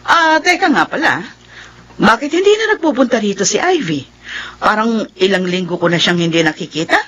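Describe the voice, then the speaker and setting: A woman playing a doting middle-aged mother in a Filipino radio drama, husky-voiced, fussing over and chiding her grown son. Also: medium pitch, expressive, fairly quick, a bit bright. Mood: probing.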